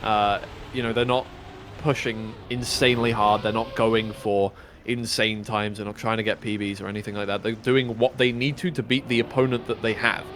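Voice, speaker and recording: A man's voice: on the low side, flat delivery, moderate pace, few pauses, a bit bright, a little hiss or hum. A man casting a live racing esports match, talking dryly and teasingly with lots of filler words. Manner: dry, teasing, many fillers and restarts. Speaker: esports caster. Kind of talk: casual live esports commentary.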